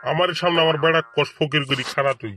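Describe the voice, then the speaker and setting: Man voicing a high-pitched cranky cartoon grandpa. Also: quick pace, noisy background, natural voice. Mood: indignant, furious. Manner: scolding, blustering, repetitive. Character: cranky, stingy old grandfather, scolding and threatening. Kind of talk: amateur comic cartoon dubbing. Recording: music behind the voice.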